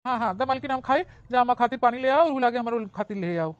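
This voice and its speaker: A woman's voice: a little high, lively intonation, fast talker, no room sound, highs cut off, lightly acted. A woman playing an emphatic village husband in a cartoon comedy, with an Awadhi accent and a habit of repeating himself. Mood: curious, probing. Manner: emphatic, repetitive. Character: village husband and son, astonished, questioning.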